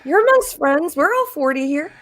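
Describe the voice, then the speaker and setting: A woman with a high, bouncy voice that swings up and down a lot. She teases the others in a playful way during a casual livestream chat. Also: big pitch swings, normal speed, a bit bright. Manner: teasing, playful.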